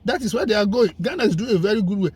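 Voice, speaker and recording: A man's voice: sits high, lively intonation, very fast, darkish tone, slightly rough. A man speaking as a guest in a conversation, emphatic and persuasive, building his points with lists and rhetorical questions. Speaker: guest commentator. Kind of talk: conversational discussion.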